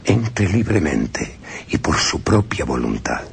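An actor voicing an old male vampire count, who gives a slow, whispered, formal welcome in a radio drama. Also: a bass voice, big pitch swings, gravelly, slight background noise, heavily acted. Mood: menacing welcome. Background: music.

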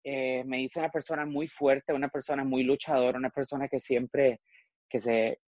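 A man's voice: mid-range voice, little pitch movement, moderate pace, darkish tone, no echo, highs cut off. A man in his forties from Costa Rica, a guest frankly telling his personal story, often trailing off and restarting. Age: 40s.

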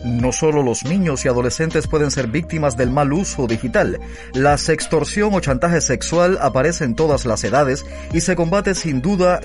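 A man with a Cuban accent, speaking as a podcast co-host and announcer. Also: a little high. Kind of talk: podcast talk and spot narration.

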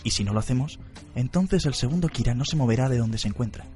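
Casting: methodical male detective, acted by a man, brisk delivery, mellow tone, radio play. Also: mid-range voice, animated, soft background music, close to an everyday voice. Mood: analytical. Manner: methodical, blunt. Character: analytical, unflappable male detective briefing his team. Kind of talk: radio drama acting.

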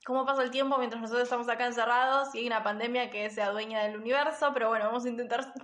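A woman's voice: on the high side, steady tone, racing, fairly bright tone, roomy sound. A woman in her twenties with a Rioplatense Argentine accent, chatting casually as a podcast co-host, laughing easily and filling her talk with fillers. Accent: Rioplatense Spanish. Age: 20s. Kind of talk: casual chat between friends.

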